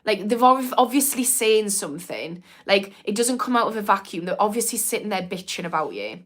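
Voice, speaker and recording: A woman's voice: mid-range voice, very animated, a little fast. A woman in her thirties with a mild Newcastle accent, chatting frankly on a livestream, advising viewers and reading out their comments. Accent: mild northern English (Newcastle). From Newcastle, England. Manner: frank, chatty, lots of "like" fillers. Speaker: mindset livestream host and former therapist.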